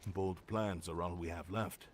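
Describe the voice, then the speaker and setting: An actor playing a grim, weary guard in a video game, speaking gravely and earnestly. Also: male voice, deep voice, fairly even intonation, unhurried. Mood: resolute. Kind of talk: dramatic video game acting. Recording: faint background noise.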